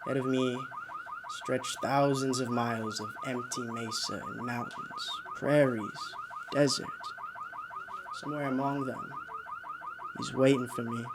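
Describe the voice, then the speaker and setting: An actor playing a distraught, haunted man near madness, brooding and halting in a radio drama monologue. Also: medium pitch, huge pitch swings, very noisy.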